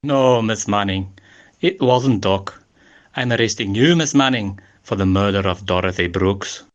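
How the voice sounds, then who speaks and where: A man's voice: lowish voice, a little slow, highs cut off, natural voice. Man playing a methodical police inspector, noisy recording. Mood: calmly certain. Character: calm, methodical male police inspector questioning murder suspects. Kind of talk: amateur radio murder mystery acting. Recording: noisy amateur voice-message recording with clicks.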